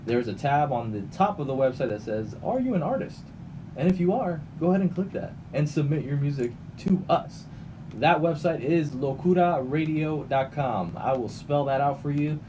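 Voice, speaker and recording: A man's voice: medium pitch, lively intonation, moderate pace. A man hosting a casual radio show with jokey, playful banter. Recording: distant mic, clearly noisy background.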